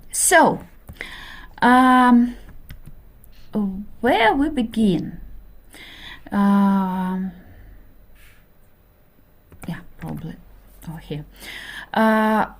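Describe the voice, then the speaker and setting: Slow, hesitant woman lecturing. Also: mid-range voice. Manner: hesitant, many fillers, checks in with okay. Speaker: linguistics lecturer. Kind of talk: introductory university lecture.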